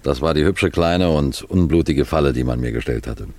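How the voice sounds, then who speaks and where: A man playing a composed, clever police detective, recounting a case in measured, precise radio mystery narration. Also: rumbling low, darkish tone. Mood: measured, suspenseful. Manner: measured, precise, deliberate storytelling.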